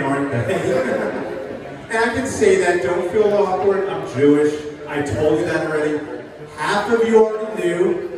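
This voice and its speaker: Older comedian, sing-songy, echoey hall. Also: male voice, high voice. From New Jersey. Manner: sing-songy, talks as if everything's a question. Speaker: stand-up comedian. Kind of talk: stand-up comedy set. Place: live venue with an audience. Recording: echoey hall recording from far off. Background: audience laughter.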